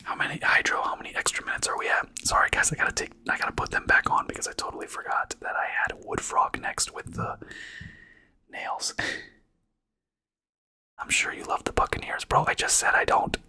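A man's voice: normal speed, pushed and bright. A man whispering through an ASMR livestream, chatting casually and answering viewers' questions. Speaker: ASMR streamer.

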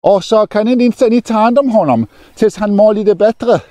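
A man's voice: high voice, dark tone, no echo, voice pushed up, close to an everyday voice. An older man voicing a kind, eager traveller who insists on helping. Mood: earnest.

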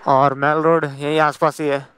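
A man's voice: fairly high pitch, little pitch movement, moderate pace. A young man casually guiding viewers through a busy night market, chatting and pointing out directions as he goes. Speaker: travel vlogger. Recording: background music over loud crowd. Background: crowd noise with music.

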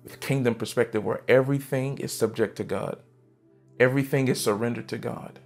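A pastor in his thirties preaches on a church stage into a headset mic, his mid-range voice carrying a gravelly edge. He is fervent and emphatic, swinging from loud, punchy bursts down to hushed asides, with soft music faintly underneath. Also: medium pitch, fairly even intonation, a little fast. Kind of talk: sermon.

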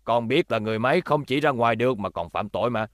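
A man voicing a calm, kindly old male scientist in a cartoon dub, low and reassuring. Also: fast talker, no echo, studio quality, close to an everyday voice. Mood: grave. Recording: music behind voice.

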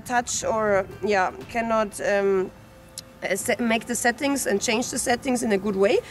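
A woman in her thirties from Austria, speaking with an Austrian accent and halting phrasing as she presents an informal product review outdoors. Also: on the high side. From Austria, Salzburg region. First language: German. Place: outdoors in an open snowy paddock.

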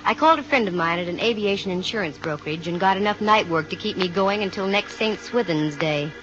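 A wry American woman private eye played by an actress speaks briskly in vintage radio drama style. She is self-assured and quick with a dry crack. The old recording is muffled, with some music. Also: mid-range voice, a bit bright, close to an everyday voice. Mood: wry narration. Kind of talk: 1950 radio detective drama acting, with first-person narration.

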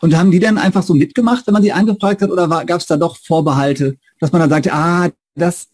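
A man's voice: fairly high pitch, steady tone, fast talker, darkish tone, dry recording, studio quality. A man in his forties hosting a conversational academic interview. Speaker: interviewer, host. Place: indoor room with bookshelves, on a video call.

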